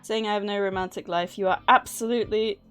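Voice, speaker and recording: A woman's voice: medium pitch, fairly even intonation, fast talker, fairly bright tone, clear, resonant tone, soft background music. A woman hosting a livestream, chatting casually in a nervous, giggly way. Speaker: livestream host. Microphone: streaming microphone at a desk.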